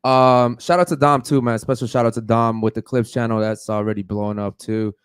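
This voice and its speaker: A man's voice: mid-range voice, level tone, a little fast, clean tone, dry recording, studio quality. A man co-hosting a podcast, loosely calling out sponsors and asking the panel questions. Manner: loose, easygoing, lots of "man" and "uh". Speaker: podcast co-host. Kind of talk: casual podcast chat, reading shout-outs.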